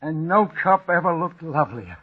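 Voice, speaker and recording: A man's voice: fairly high pitch, very animated, moderate pace, phone-quality sound, very noisy. An actor playing a nervous working-class man with a broken leg who stammers and talks ungrammatically in a 1940s radio drama. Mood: jittery.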